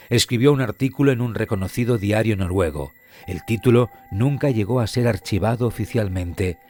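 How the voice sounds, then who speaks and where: A man narrating a scripted mystery story in a solemn, dramatic manner with deliberate pauses and a husky voice. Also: fairly low pitch, darkish tone, dry recording. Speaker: podcast narrator. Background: background music.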